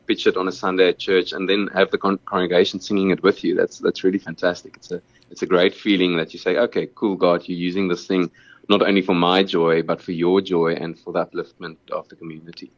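South african guy, afrikaans first language, really deep voice, earnest, keeps stumbling over repeated words, dry muffled sound. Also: little pitch movement, fairly quick, no echo. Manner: earnest, repeats words often while thinking. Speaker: musician, interview guest. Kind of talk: casual interview answers.

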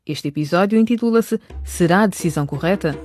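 A woman's voice: lowish voice, expressive, a little fast, over music. A woman narrating a radio drama from a script.

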